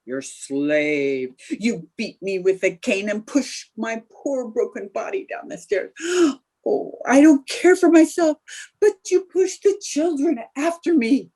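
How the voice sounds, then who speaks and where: Theatrical, mellow radio housewife woman acting. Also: on the high side, expressive, takes it slowly, breathy edge, full character voice. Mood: mock-tragic. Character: scheming, melodramatic young housewife in a radio sitcom. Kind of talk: old-time radio comedy acting.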